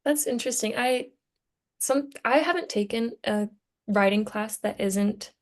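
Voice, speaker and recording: A woman's voice: fairly high pitch, a little slow. A young woman talking casually as co-host of a student podcast discussion. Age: young adult.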